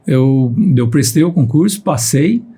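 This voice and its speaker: Husky Piracicaba-accented man in his fifties. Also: medium pitch, unhurried. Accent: Piracicaba countryside Brazilian Portuguese. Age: 50s. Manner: repeats words while starting sentences, steady explanatory tone. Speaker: guest scientist. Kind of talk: podcast conversation.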